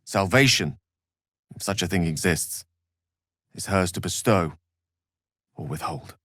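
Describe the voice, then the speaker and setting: An actor playing an eloquent, courteous and wry wizard in a fantasy video game, with a British accent and articulate, theatrical phrasing. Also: male voice, low voice, lively intonation, measured pace, a bit bright, no echo, studio quality, heavily acted. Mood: grave, measured. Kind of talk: dramatic fantasy game dialogue.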